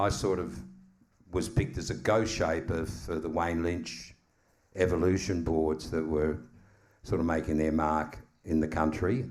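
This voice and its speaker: Deep-voiced older Australian man. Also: a little mellow. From South Australia. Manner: slow, thoughtful, modest, with many ums. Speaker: guest surfboard shaper. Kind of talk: casual storytelling on a live podcast.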